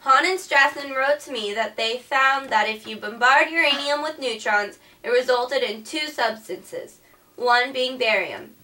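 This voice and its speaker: A woman's voice: high voice, measured pace, pushed and bright. A woman narrating a scripted first-person history story, reading it plainly and earnestly. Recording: distant mic with faint background music.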